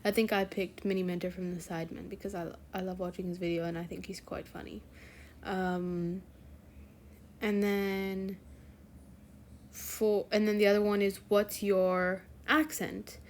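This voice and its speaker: A woman's voice: mid-range voice, flat delivery, unhurried, slight background noise. A teenage girl hosting her own channel, chatting casually to viewers in a rambling way with lots of fillers and self-corrections. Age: teens. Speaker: fanfiction channel host.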